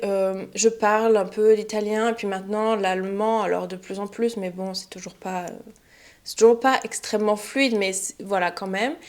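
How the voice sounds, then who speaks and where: A young woman, a French teacher, giving a relaxed spoken lesson to learners, chatty and often pausing with fillers and restarts. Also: medium pitch. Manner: chatty, many fillers and self-corrections.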